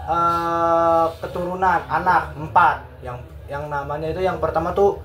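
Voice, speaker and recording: A man's voice: on the high side, fairly even intonation, measured pace, fairly bright tone, distant mic. Hesitant teenage boy from North Sumatra. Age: teens. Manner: hesitant, searching for words, slangy. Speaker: student. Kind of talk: casual chat. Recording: echoey room with faint noise.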